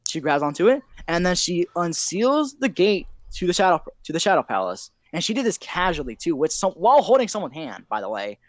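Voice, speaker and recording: High, lively man arguing a point. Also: fairly quick, few pauses, no echo. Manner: insistent, argues points by citing chapters and panels. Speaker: podcast co-host. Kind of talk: casual online podcast debate.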